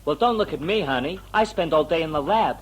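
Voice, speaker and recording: A man's voice: on the high side, lively intonation, fairly quick, a little hiss or hum, lightly acted, poor audio. Cocky, sneering bully played by a man. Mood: defensive. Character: bullying, cocky undead son who plays scientist. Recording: music under the voice.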